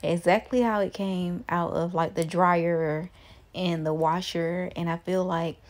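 Young woman, lifestyle vlogger, relaxed chatty voice-over, lowish pitch. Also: unhurried. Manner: relaxed, chatty, with many "like" fillers. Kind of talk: casual voice-over narration.

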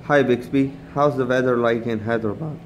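A man's voice: mid-range voice, steady tone, fairly quick. A man giving a casual product demonstration, recorded on a phone. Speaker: phone demo presenter. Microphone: handheld phone. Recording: noticeable background noise, phone-recorded.

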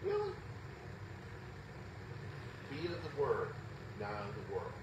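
A man's voice: mid-range voice, huge pitch swings, slow. A man preaching an earnest, conversational sermon in a small church, sometimes rising to exclamations. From Southern US. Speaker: pastor. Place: small church sanctuary, indoors. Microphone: lapel mic. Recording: roomy, distant, with loud hum.

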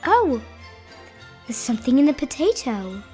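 A woman voicing a kindly mother pig, sensible but excitable, in a children's storybook. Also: high voice, measured pace, music in the background. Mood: excited. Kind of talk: animated children's storybook read-aloud.

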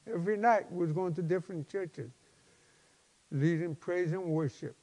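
A man in his seventies, a pastor preaching a personal testimony in an emphatic, halting, folksy way. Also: high voice, darkish tone. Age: 70s. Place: large church sanctuary, at a lectern. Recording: long hall reverb.

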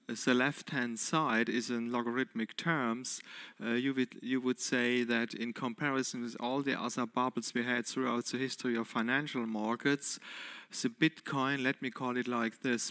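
A man's voice: medium pitch, fairly bright tone, dry recording. German guy on a conference panel, headset mic, dry sound, lots of uhs. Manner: hesitant, many fillers, explaining a chart. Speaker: panelist, investment expert. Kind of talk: panel presentation. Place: conference stage.

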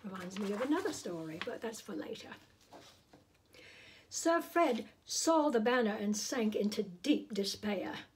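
A middle-aged woman reading a children's story aloud in a deliberate, theatrical, playful way. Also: medium pitch, lively intonation, slight background noise. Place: small room indoors.

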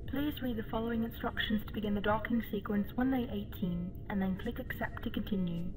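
A woman playing a calm female spaceship AI, making measured, formal procedural announcements in a sci-fi audio drama. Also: mid-range voice, close to an everyday voice. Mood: neutral, formal. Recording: clear background ambience noise.

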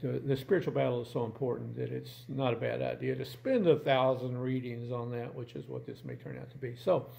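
A man in his sixties chats casually to camera from a room at home. His voice is mellow and creaky, and he feels his way through sentences in a halting, plain-spoken manner. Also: medium pitch, steady tone, rough recording. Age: 60s. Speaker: video channel host.

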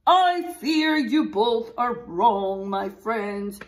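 A worried, polite centipede from a children's storybook, played by a woman. The voice is high and swoops dramatically. It draws the words out slowly and plaintively. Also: animated. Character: worried storybook centipede, politely contradicting his bug friends.

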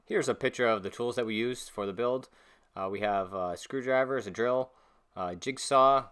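A man's voice: medium pitch, normal speed, fairly bright tone, clear, resonant tone. A young man plainly explaining a DIY speaker build step by step, with some fillers, in a home garage. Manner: plain, matter-of-fact with fillers. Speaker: co-host of a DIY video.

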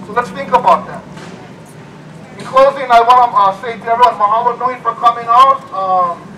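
Man, protest speech, high voice, heard from afar, noisy outdoor recording. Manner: impassioned, repeats phrases, rising to shouts. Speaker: protest speaker. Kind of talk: rally speech with bullhorn. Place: outdoor campus rally by a painted wall. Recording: distant phone recording outdoors with noise.

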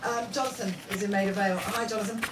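A woman's voice: medium pitch, steady tone, fast. A woman hosting a radio phone-in, talking in a blunt, sardonic, opinionated way. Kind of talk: opinionated live talk radio. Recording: roomy radio playback, distant, noisy.

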